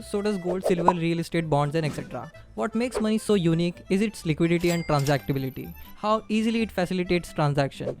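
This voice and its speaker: A man's voice: sits high, darkish tone. A man from India with a strong Indian accent, narrating a scripted explainer and addressing the viewer directly.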